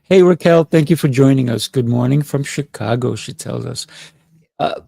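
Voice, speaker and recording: A man's voice: mid-range voice, normal speed, creaky, warm tone, dry recording, studio quality. A man hosting a podcast, interviewing conversationally and sometimes searching for his words. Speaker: podcast host.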